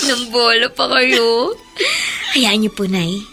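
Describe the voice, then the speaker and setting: A woman's voice: fairly high pitch, very animated, extremely slow, sharp tone, full character voice. A woman playing a sweet, affectionate, studious teenage daughter in a radio drama, giggly and respectful. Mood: affectionate, cheerful. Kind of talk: Tagalog radio drama acting.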